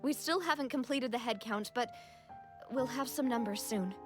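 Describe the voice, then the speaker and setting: Solemn, high-voiced young woman played by an actress. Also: over music, natural voice. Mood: grave. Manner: solemn, measured, composed. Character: earnest young woman, compassionate leader shaken by disaster. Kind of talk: video game voice acting.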